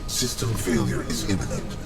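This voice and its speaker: Ancient nonhuman keeper in a game, creaky low voice, solemn and cryptic, loud music behind. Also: male voice, very animated, normal speed, exaggerated voice. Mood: solemn, detached. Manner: terse, formal, cryptic pronouncements. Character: solemn ancient inhuman guardian of all realms. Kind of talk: video game cutscene acting. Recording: distant voice under loud music.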